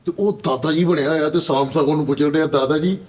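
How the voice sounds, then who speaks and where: A man's voice: on the high side, fairly even intonation, quick pace, a little mellow. A man with a Punjabi accent chatting casually as a panelist on a comedy talk show.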